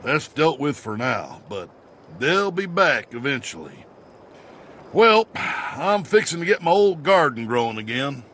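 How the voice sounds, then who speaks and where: Video game farmer critter: folksy Southern drawl, weary and kind, unhurried, wildly swooping pitch. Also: male voice, big pitch swings, fairly bright tone, a little hiss or hum. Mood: gruff resolve. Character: gruff, folksy male farmer creature, weary but kindly. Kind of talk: video game character acting.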